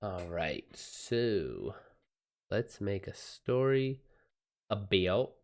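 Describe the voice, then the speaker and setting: A man hosting a casual livestream, chatting with viewers in an informal, slangy, halting way with some swearing. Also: fairly low pitch, big pitch swings, darkish tone. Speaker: livestream host and writer. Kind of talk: casual livestream chat, reacting to viewer messages.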